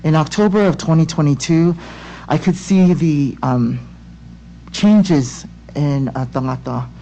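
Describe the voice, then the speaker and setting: A woman from Tonga speaking as a parent in public comment at a school board meeting, earnest and halting, with many restarts. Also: sits low, warm tone, a little hiss or hum, rough recording. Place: home room on a video call. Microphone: laptop or webcam mic on video call.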